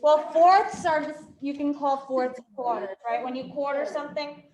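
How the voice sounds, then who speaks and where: A woman's voice: sits high, normal speed, a little mellow, roomy sound, low-quality recording. A woman with a New York accent teaching a fifth-grade math class, working problems aloud and quizzing her students. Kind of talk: live classroom math lesson.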